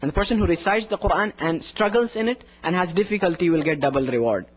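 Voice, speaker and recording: Young man with a high voice teaching. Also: fast talker, lo-fi sound. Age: young adult. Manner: explanatory, demonstrates with his voice. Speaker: adhan instructor. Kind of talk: workshop lecture. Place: indoor room in front of a plain wall. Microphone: handheld microphone plus lapel mic.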